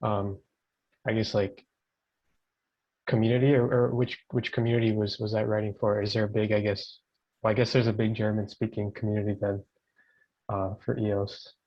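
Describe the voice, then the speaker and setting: A man with a low, flat voice asks a halting question, stopping on "um" and rephrasing as he goes. He sounds far from his microphone, and the audio is dull and lo-fi. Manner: halting, many ums and rephrasings. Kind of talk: casual video call question.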